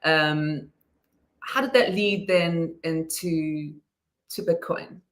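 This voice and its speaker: A woman's voice: low voice, takes it slowly. A woman hosting a conversational interview, feeling out her questions in hesitant, gradually built phrases. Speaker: podcast host. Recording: roomy, echoing sound.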